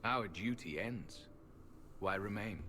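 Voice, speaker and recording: A man playing an ancient, weary elven temple guardian in a fantasy video game, speaking formally and gravely. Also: fairly low pitch, slow, bright, forceful tone, a little hiss or hum. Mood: solemn, stern. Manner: formal archaic phrasing, grave.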